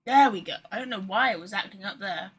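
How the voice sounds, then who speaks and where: A woman's voice: mid-range voice, quick pace, slightly rough. A woman livestreaming a video game, chatting casually to viewers in a rambling, informal, sweary way.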